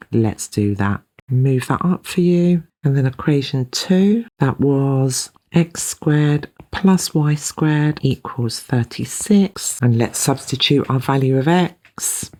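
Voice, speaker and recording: A British woman speaks at an unhurried, slow pace. She presents like a maths tutor, walking through each step methodically and explaining as she goes. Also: a bass voice, mellow.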